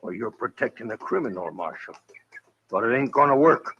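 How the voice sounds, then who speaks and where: A man acting a blustering, crooked American rancher in a radio Western, with a raspy voice and folksy drawl. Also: medium pitch, expressive, brisk, fairly bright tone. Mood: gloating, impatient. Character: blustering, crooked hotel-owning rancher in the Old West. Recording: muffled old radio recording.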